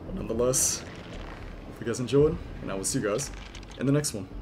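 A hoarse young man giving loud, profane commentary over his own gameplay, muttering one moment and yelling the next. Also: medium pitch, brisk, background noise. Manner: swings from muttering to yelling, swears a lot. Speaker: gaming YouTuber. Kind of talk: excited live gameplay commentary.

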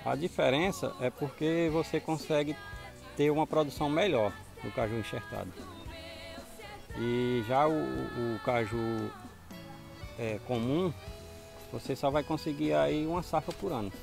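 Middle-aged man, northeastern Brazilian accent, gravelly voice, plain-spoken farmer, outdoor interview, music behind. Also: medium pitch, measured pace, long pauses. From Ceará, northeastern Brazil. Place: outdoors on a farm. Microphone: handheld mic with furry windscreen.

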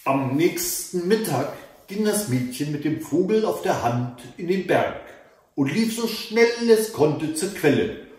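A man in his fifties narrates a fairy tale with deliberate, dramatic pauses. His voice sits a little high and moves in lively swings. The room around him is very reverberant. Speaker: storyteller reading fairy tales. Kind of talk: expressive read-aloud fairy tale narration. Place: indoors, book-lined room at home. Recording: echoey room, mic at a distance.

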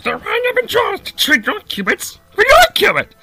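A man voicing an eager, blustering little military henchman creature in a cartoon fan dub, barking excitably. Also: very high voice, normal speed, gravelly, no echo, faint music behind, exaggerated voice. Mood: excited.

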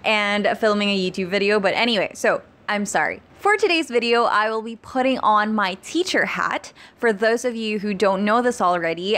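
A woman's voice: medium pitch, fairly bright tone. A young woman whose first language is Tagalog, chatting upbeat to camera into a handheld mic, laughing between phrases as she shares English-speaking tips. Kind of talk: casual vlog talk to camera. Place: small indoor room, plain wall. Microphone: handheld mic held close.

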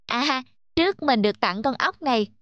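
A woman voicing a young child in a gentle moral cartoon, speaking simply and earnestly. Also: sits high, animated, normal speed, no room sound, dull audio. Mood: friendly. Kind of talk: Vietnamese children's cartoon dubbing.

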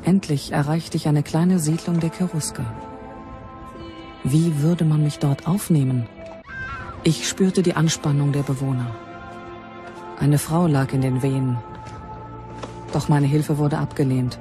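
Grave Germanic priestess, over music. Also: female voice, low-pitched, fairly even intonation, measured pace, pauses often. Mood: solemn, wary. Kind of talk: dramatised first-person documentary narration. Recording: music behind the voice.

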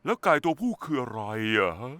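A woman's voice: a bass voice, very animated, brisk, a bit bright, soft background music, full character voice. A woman voicing a refined, snooty adult city pony in a dubbed cartoon, with polite condescension. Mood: condescending. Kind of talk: animated cartoon dubbing.

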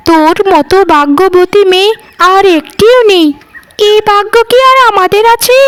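Lady doing a catty village wife for a cartoon, super high voice, gossipy and mocking. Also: a bit bright. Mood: chatty, flattering. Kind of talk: cartoon dialogue.

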